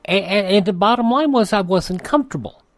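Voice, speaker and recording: A man's voice: sits high, lively intonation, a little fast, a bit bright, no room sound. An older man hosting a radio show, announcing and reading ads in a folksy, rambling, conversational way.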